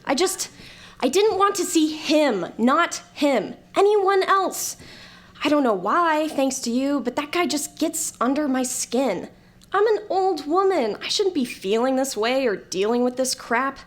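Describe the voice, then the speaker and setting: A sarcastic, easily exasperated woman with a youthful form played by an actress in an audio drama. Her voice sits on the high side and swings widely in pitch as she grumbles. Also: animated, unhurried. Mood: flustered, irritated. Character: irritable, sardonic old woman restored to a youthful form.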